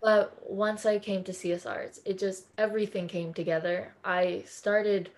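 A woman's voice: mid-range voice, fairly even intonation, measured pace. Effusive young woman from California. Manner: effusive and earnest. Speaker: podcast guest. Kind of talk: casual interview chat.

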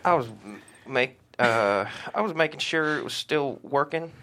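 An actor reading a shy, bumbling middle-aged husband at a script table read, hesitant and stammering. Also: male voice, medium pitch, expressive, measured pace, sharp tone.

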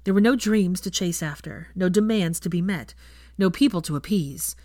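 A woman's voice: fairly low pitch, normal speed, dark tone, no room sound, clean studio sound. A woman reading fiction aloud as scripted audiobook narration.

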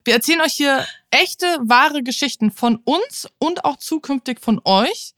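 Woman around 30 cohosting a podcast, kinda high voice, super dry sound, blunt casual chat w english slang. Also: animated, a little slow, fairly bright tone, dry recording. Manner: frank, informal, slangy with English words. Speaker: podcast co-host.

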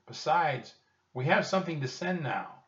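A man's voice: fairly high pitch, lively intonation, moderate pace, fairly bright tone, echoey room, voice pushed up. A man voicing a cautious, worried young boy in a children's storybook, urgent and fretful. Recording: dull, lo-fi, distant microphone.

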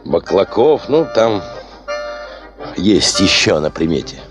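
An actor playing a domineering, driven chief of a prospecting expedition in a radio play, self-assured and musing. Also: male voice, low voice, very animated, takes it slowly, music in the background, full character voice. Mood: musing, intense. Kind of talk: Soviet radio play acting.

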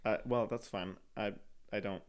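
A man's voice: fairly low pitch, steady tone, moderate pace. A man co-hosting a casual podcast, teasing and laughing often between fillers. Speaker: podcast co-host. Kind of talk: casual chat.

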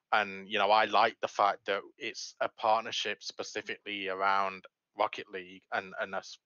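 A man's voice: lowish voice, bright, forceful tone, no echo, lo-fi sound. A man giving unscripted, conversational business commentary as a company co-owner. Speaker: esports organisation co-owner.

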